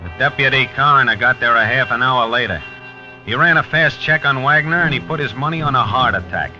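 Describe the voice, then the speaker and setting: An actor playing a hard-boiled, shaken police lieutenant in a 1950s radio crime drama, speaking in terse sentences. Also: male voice, medium pitch, brisk, music in the background, natural voice. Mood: grim. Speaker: narrator and detective. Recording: muffled 1950s radio recording.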